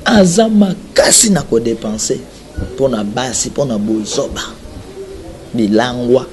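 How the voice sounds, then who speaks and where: A man's voice: mid-range voice, dark tone. A man with a Congolese accent preaches in an emphatic, exhorting manner, repeating phrases for weight. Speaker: preacher, self-described prophet. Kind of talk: sermon, religious address mixing two languages.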